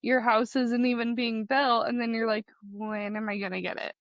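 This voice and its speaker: Gravelly-voiced woman co-host, muffled and dry. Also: a little high, level tone, no echo. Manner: curious, quick reactions and questions. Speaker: podcast co-host. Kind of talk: casual chat.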